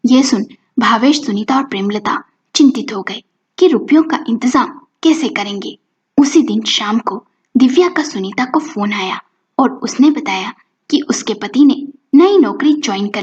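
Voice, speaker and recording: A woman's voice: on the high side, animated, measured pace, darkish tone, slightly rough. A woman storyteller reading a scripted family story and acting out its dialogue. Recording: muffled recording with music behind.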